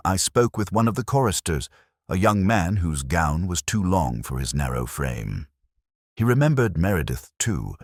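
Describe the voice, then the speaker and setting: Male narrator, extremely deep pitch, expressive intonation, measured, solemn, reflective, first-person detective fiction. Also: no echo, clean studio sound. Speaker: audiobook-style narrator.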